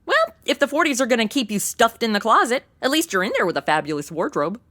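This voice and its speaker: A woman's voice: fairly high pitch, sing-song, quick pace, sharp tone. A woman playing a brash, snarky young modern sidekick in a comedy audio drama, slangy and sarcastic. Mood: sarcastic, quippy.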